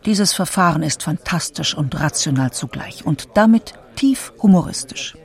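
A man's voice: fairly high pitch, expressive, normal speed, vocal fry. A man reading out quoted literary criticism in a radio feature. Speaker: quote reader.

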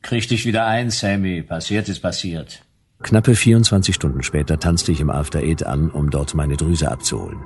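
An actor playing a wry, laconic private detective, narrating in a dry hard-boiled manner for a German radio play. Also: male voice, deep voice, normal speed, darkish tone, breathy edge. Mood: brisk, familiar.

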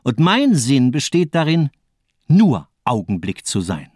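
A cold, fanatical villain of radio crime drama. He is a nihilist doctor who declaims in mocking, rhetorical speeches. His pitch moves in big sweeps, and the sound is dry. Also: wildly swooping pitch, measured pace, darkish tone, no echo. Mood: fervent, grandiose.

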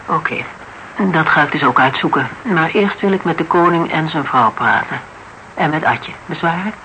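An actor in a Dutch radio drama playing a terse, wry private investigator with clipped, dry questions, on a noisy recording. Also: male voice, on the high side, normal speed, sharp tone. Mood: terse, probing.

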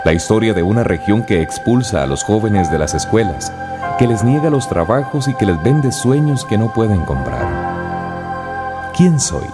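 Male narrator, very low register, solemn yet expressive documentary read, music underneath. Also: unhurried. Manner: solemn, rhetorical.

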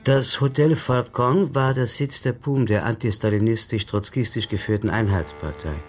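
An actor playing a well-informed political comrade of a detective in a radio crime drama, speaking in a wry, knowing manner. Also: male voice, mid-range voice, moderate pace, natural voice. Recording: studio radio drama with music underneath.

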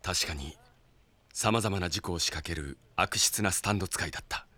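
An actor playing a polite, level-headed travelling companion with a husky voice in a Japanese audio drama. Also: male voice, deep voice, moderate pace, pushed and bright, exaggerated voice. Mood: composed.

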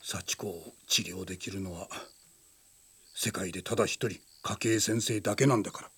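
A man playing a stern, commanding older patriarch in a radio drama, gruff and authoritative. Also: sits low, normal speed, a bit bright, exaggerated voice.

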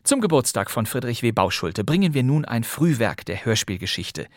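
A man's voice: mid-range voice, sing-song, moderate pace, dry recording, clean studio sound. A man hosting a crime podcast, introducing an old radio play in a wry, conversational way. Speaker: podcast host.

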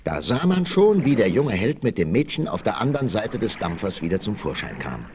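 Lively, dramatic storyteller. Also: male voice, fairly low pitch, a little fast. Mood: dramatic, gripping. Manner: emphatic, suspenseful. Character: dramatic storyteller of a western adventure. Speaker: narrator. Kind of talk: scripted dramatic narration, 1960s radio play. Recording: vintage vinyl radio play, faint noise.

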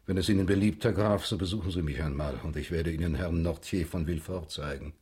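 Radio drama role: stern, middle-aged royal prosecutor, low and very husky, measured and formal. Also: male voice, a little mellow. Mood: grave. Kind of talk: German radio drama acting.

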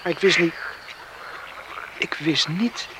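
An actor playing an earnest, tender young village man in a radio play, speaking in a halting, searching way. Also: on the high side. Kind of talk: Dutch radio play acting. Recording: noisy old radio play recording.

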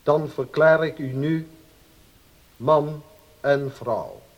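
An actor playing a solemn, formal wedding officiant in a radio play, reciting in a ceremonial, declamatory way. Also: male voice, fairly high pitch, drawn-out words, muffled recording, a little hiss or hum. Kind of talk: radio drama acting.

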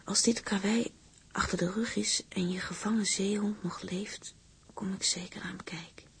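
Sincere letter-writing seaman, husky near-whisper with gravel, intimate radio play monologue. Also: high voice, fairly even intonation, normal speed, fairly bright tone, full character voice. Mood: intimate, reflective.

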